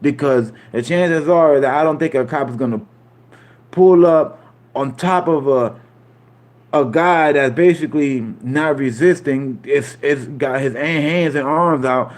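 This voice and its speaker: Man from puerto rico, higher-pitched voice, casual rambling, slangy, repeats himself, talks to his chat. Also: measured pace. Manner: loose street slang, frequent "you feel me" asides, repeats himself. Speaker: streamer talking to live chat.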